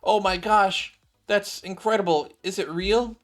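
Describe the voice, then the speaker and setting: A man voicing an excitable, childlike young woman in a game story, gushing and pleading eagerly. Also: high-pitched, unhurried, voice pushed up. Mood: excited.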